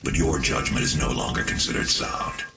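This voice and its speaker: An actor playing a cold, commanding cyborg chief scientist in a video game, measured and formal, with a gravelly, synthetic voice. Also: male voice, rumbling low, steady tone, noisy background. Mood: stern, reproachful. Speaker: head of a research corporation. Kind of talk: video game cutscene acting. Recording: echoey, distant, loud music behind.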